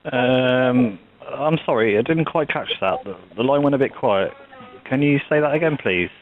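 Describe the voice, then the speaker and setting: A man on the phone who talks in a halting, hesitant way, full of ums and apologies. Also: medium pitch, animated, a little hiss or hum. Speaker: prank caller. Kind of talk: phone prank call. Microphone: telephone. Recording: tinny phone line.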